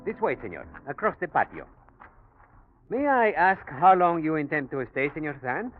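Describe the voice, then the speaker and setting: A man's voice: a little high, big pitch swings, normal speed, faint music behind. An actor playing a polite, talkative South American hotel man with a Spanish accent, in a 1940s radio drama style, courteous and hesitant. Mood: courteous, probing. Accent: Latin American Spanish. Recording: muffled, noisy vintage radio recording.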